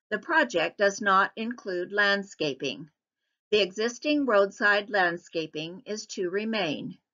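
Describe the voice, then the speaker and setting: A woman reads a formal public hearing presentation as a scripted voice-over. Her tone is bright and her delivery is even and measured. The audio is slightly dull and lo-fi. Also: medium pitch. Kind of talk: scripted narration.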